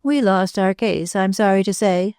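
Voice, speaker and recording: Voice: mid-range voice, moderate pace, darkish tone, clear, resonant tone, no room sound, clean studio sound, pitched above the performer's own voice, close to an everyday voice. A reader voicing a babbling, gushing society hostess. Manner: effusive, babbling, emphatic. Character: gushing chattering society hostess, woman, middle-aged. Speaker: literary hostess.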